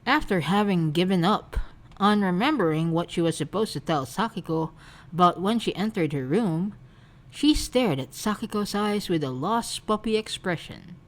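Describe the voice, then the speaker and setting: A woman narrating a fan fiction story in a soft, unhurried way. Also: on the low side, lively intonation.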